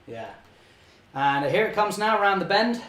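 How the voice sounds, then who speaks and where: A young man, slightly hoarse, chatting cheerfully through a live model railway session and correcting himself often. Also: high-pitched, big pitch swings, normal speed, a bit bright, reverberant. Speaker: model railway channel host. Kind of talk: live-stream running commentary.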